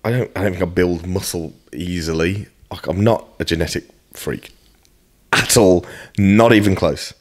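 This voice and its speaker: A man's voice: sits low, moderate pace. A British man in his early thirties chatting casually as a podcast guest, blunt, sweary and self-deprecating. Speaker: podcast guest, fitness coach. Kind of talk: casual chat.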